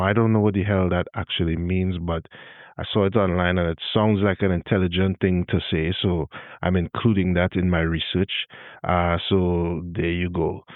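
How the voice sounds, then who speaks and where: A man's voice: deep voice, no room sound, narrow, tinny audio. A man from Trinidad and Tobago with a Trinidadian accent, hosting his own podcast in a solo talk with wry, self-deprecating asides. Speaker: podcast host.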